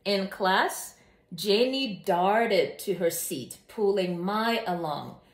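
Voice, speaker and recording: Expressive woman reading aloud, echoey room. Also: mid-range voice. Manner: expressive, enthusiastic, deliberate storytelling. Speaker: book reviewer and children's read-aloud host. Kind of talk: scripted-sounding channel introduction and animated children's story reading.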